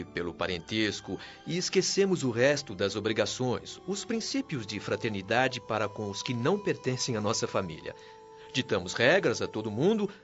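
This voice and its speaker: A male actor voices a wise Brazilian spirit mentor in a radio play. He teaches patiently and formally, with a very mobile, mid-pitched voice. The sound is a little dull, with music playing underneath. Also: natural voice. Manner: didactic, earnest, formal. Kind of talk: radio drama acting.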